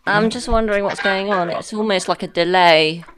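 A woman's voice: mid-range voice, fairly quick, fairly bright tone, a little hiss or hum. A woman hosting an online music session on a video call, friendly and a little scattered, with laughing asides. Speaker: session host. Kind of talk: casual online session chat. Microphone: computer mic on a video call.

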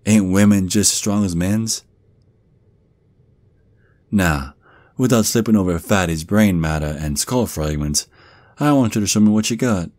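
Male actor as protective werewolf father, Southern US drawl, extremely deep, taunting and menacing, ASMR roleplay, very dry recording. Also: a little slow, long pauses, a little mellow, no echo. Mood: cruel, gloating. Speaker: audio roleplay performer.

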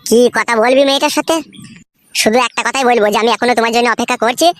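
A man's voice: extremely high pitch, steady tone, rapid-fire, a bit bright, dry recording. A man voicing a brash, foul-mouthed young cartoon villager with a rural West Bengal accent, grumbling in crude comic banter. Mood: scheming banter.

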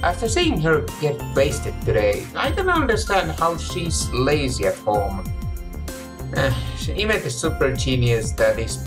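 A young man reading visual novel narration and dialogue aloud expressively, stumbling now and then, with game music behind. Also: medium pitch. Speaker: let's play streamer and fan dubber.

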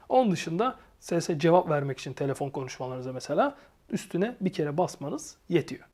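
Reviewer doing a casual unboxing, mid pitch, explains stuff informally to viewers. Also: a little mellow. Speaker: motorcycle gear reviewer and channel host.

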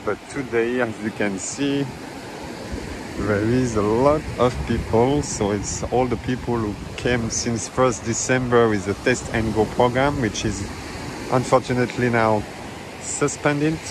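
Male travel vlogger, casual beach walk-through, mid-pitch, waves rushing behind, phone audio. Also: fairly even intonation. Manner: chatty, non-native phrasing, fillers. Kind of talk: casual walking-tour commentary. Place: outdoors on a tropical beach. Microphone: handheld phone camera. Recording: outdoor phone audio with surf noise. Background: waves and wind.

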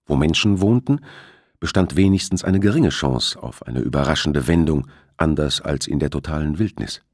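Very deep, husky male narrator. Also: animated, moderate pace, no room sound, studio quality. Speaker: audiobook narrator. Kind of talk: scripted thriller novel narration.

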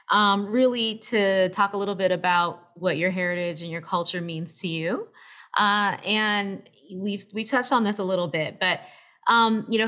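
A woman in her thirties moderates a panel over a video call from home. Her voice is bright and forceful, and her tone is upbeat as she asks long questions. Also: mid-range voice. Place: room at home, indoors.

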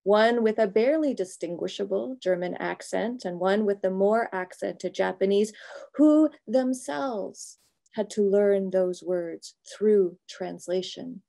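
Middle-aged woman pastor reading her talk slow and careful, low mellow gravelly voice, webcam in a home office. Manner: measured, thoughtful, pausing phrase by phrase. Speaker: pastor giving a presentation. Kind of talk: reflective prepared talk, read slowly. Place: home office with bookshelves, indoors.

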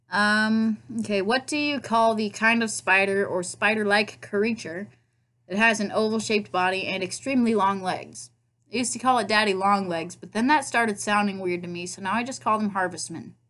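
A woman's voice: mid-range voice, fairly even intonation, moderate pace, a bit bright. A young woman with a Nebraska accent, talking casually and self-mockingly to a webcam in her bedroom. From Nebraska, USA. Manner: chatty, self-mocking, playful asides. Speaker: vlogger. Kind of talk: casual accent tag video. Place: bedroom at home, indoors. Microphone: laptop webcam.

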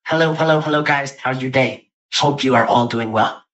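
A man's voice: medium pitch, normal speed, fairly bright tone, recorded from a distance, muffled recording. A man voicing a game monkey warrior through an AI voice changer. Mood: friendly.

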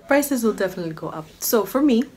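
A woman in her twenties from Kenya, telling stories casually to camera in an animated manner, recorded at home. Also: mid-range voice, normal speed. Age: 20s. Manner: animated, acts out other people's remarks. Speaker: vlogger. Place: small furnished living room or bedroom, indoors. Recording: clearly noisy home room recording.

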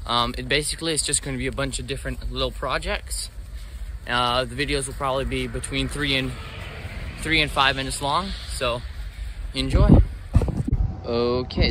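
Young male host, mid-range voice, informal how-to delivery, loosely worded, outdoor recording, clear background noise. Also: medium pitch, fairly even intonation, normal speed. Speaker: host of a bushcraft series. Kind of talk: casual how-to demonstration. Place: outdoors in a wooded park area.

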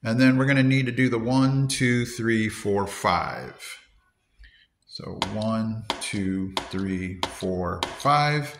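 A man's voice: fairly low pitch, slow pace, a little hiss or hum. A man in his fifties, an elementary teacher hosting an upbeat classroom robot demo, counting steps aloud, recorded on a phone held at arm's length. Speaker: elementary teacher, video host. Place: classroom, indoors. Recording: echoey classroom.

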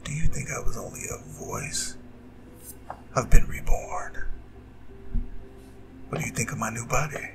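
A man playing a proud, vengeful and seductive demon king in an ASMR audio drama, speaking in a breathy, gravelly half-whisper with slow, grandiose delivery. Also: medium pitch, wildly swooping pitch. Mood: menacing, gloating. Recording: roomy reverb, distant, music behind. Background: music.

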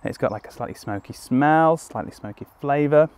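A man's voice: a little high, normal speed, a little mellow, clear, resonant tone. A young man, crouched in woodland, explaining step by step as a foraging guide with easygoing ums and restarts. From United Kingdom. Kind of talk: outdoor teaching walkthrough. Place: woodland clearing outdoors. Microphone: camera on a tripod at a few metres, crouching in frame.

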